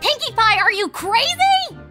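A woman plays a studious female pony in a cartoon storybook. She sounds earnest and easily exasperated, with a very high, swooping voice. Music runs underneath. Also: sing-song, a little slow, bright, forceful tone, heavily acted. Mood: flustered, alarmed. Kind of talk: cartoon storybook character reading.

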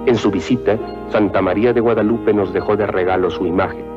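A man narrating history from a script in a solemn, formal, measured way. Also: on the low side, steady tone. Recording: muffled lo-fi old film soundtrack. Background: loud music.

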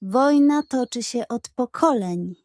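A woman's voice: a little high, lively intonation, slow pace, a little mellow, no room sound, clean studio sound. A woman voicing a bitter, accusing warrior's adviser in a children's audio story. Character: bitter, growling war chief's adviser.

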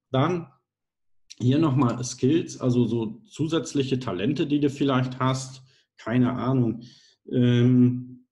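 A middle-aged man talking through an online course lesson from his home office, his voice in the middle of the usual range. He is loose and chatty, often pausing with fillers and poking fun at himself as he clicks through a form. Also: medium pitch, dark tone. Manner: chatty, self-deprecating, thinks aloud with fillers. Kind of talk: casual screen-share tutorial.

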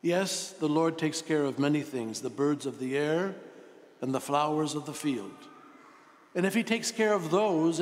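A man in his sixties, a priest giving a sermon in a deliberate, emphatic way with rhetorical questions and pauses. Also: a little high. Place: large church, indoors at the altar. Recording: long reverberant church echo.

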